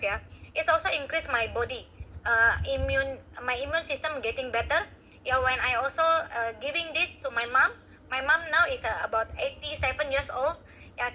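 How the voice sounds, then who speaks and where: A middle-aged woman with an Indonesian accent giving a testimonial in an online meeting, pausing often with "yeah" and "uh". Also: sits high, sharp tone, a little hiss or hum, poor audio. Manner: hesitant, frequent "yeah" and "uh" fillers. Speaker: guest speaker.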